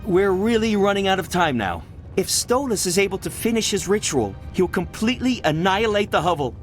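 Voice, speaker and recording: A woman's voice: mid-range voice, big pitch swings, brisk, faint music behind, lightly acted. A woman playing a small, brave and determined cartoon creature in a video game, earnest and urgent. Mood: worried. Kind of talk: video game cutscene acting.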